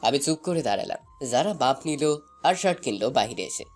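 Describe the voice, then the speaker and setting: A man's voice: medium pitch, animated, fairly quick, faint music behind, lightly acted. A man playing a shy young college student, narrating a romantic story in the first person.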